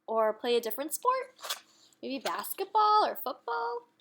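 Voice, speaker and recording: Animated, bright-voiced woman reading to children. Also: sits high, measured pace, slight background noise. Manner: expressive, asks the listeners questions. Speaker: children's read-aloud host, speech-language teacher. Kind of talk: interactive storybook read-aloud for young children.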